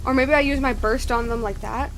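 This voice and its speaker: A woman's voice: sits high, fairly even intonation, fairly quick, fairly bright tone, soft background music. A woman streamer giving casual gameplay commentary, thinking aloud in repeated questions. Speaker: game streamer.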